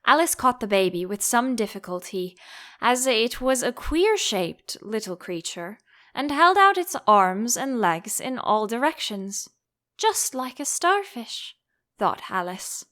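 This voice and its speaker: Slow, animated woman narrator. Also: a little high. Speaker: audiobook narrator. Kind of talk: expressive storybook narration.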